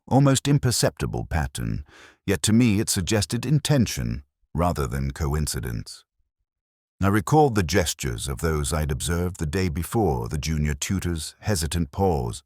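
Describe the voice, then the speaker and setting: Male narrator for detective fiction. Extremely low voice, expressive pitch movement, reflective and measured delivery. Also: no room sound, studio quality. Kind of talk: scripted first-person detective mystery narration.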